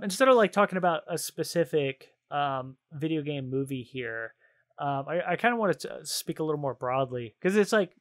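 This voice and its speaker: A man co-hosting a casual podcast, chatting in a hesitant, rambling and jokey way. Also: a little high. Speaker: podcast co-host. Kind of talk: casual chat about games and films.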